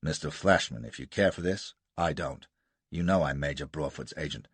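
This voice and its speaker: A man's voice: very deep voice, moderate pace, slightly rough, no room sound, pitched below the performer's own voice. Man doing a shifty, curt American rogue. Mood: defiant.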